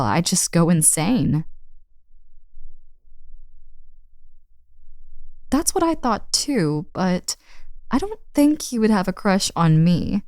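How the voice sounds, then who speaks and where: A woman's voice: lowish voice, darkish tone, no echo, clean studio sound. A woman playing a friend's friendly, teasing older sister in a casual, intimate roleplay audio drama. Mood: musing.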